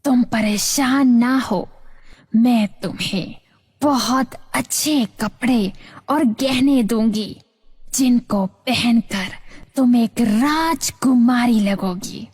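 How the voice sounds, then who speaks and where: A woman voicing an eerie but kind-hearted witch in a children's cartoon story, sorrowful and breathy, with drawn-out words. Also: fairly high pitch, darkish tone, no echo, studio quality. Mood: kindly.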